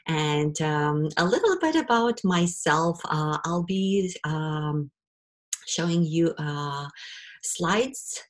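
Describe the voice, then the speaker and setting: A middle-aged woman from Ukraine with a Ukrainian accent, presenting a webinar and pausing often on fillers. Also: sits low, animated. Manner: hesitant, many fillers.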